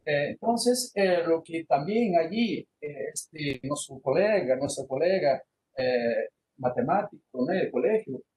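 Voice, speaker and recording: A man's voice: fairly high pitch, lively intonation, measured pace, darkish tone, poor audio. A man, giving an online university lecture with many fillers and restarts, slipping into Portuguese words. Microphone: computer microphone on a video call.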